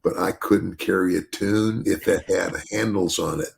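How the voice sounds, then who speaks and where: An older man with a very low, gravelly bass voice talks on a podcast. He rambles, circling back with false starts and "you know" fillers. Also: steady tone, measured pace, slight background noise. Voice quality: deep bass voice. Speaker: podcast host, retired wrestler. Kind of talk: casual podcast chat.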